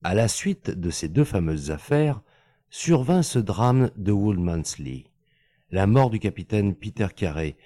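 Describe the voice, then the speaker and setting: A man reading a classic novel aloud as an audiobook, with measured, expressive storytelling. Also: fairly low pitch, no echo, clean studio sound. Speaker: audiobook reader. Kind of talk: scripted narration.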